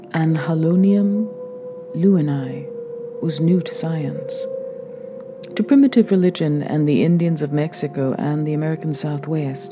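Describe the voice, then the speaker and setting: Female narrator, deep register, formal documentary read over prominent music. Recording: music bed behind.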